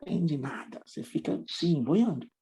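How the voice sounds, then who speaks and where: A man's voice: a little high, very animated, normal speed, dark tone, breathy edge. A middle-aged man, a guest English teacher thinking aloud in a reflective, hesitant way with many restarts. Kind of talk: conversational podcast interview.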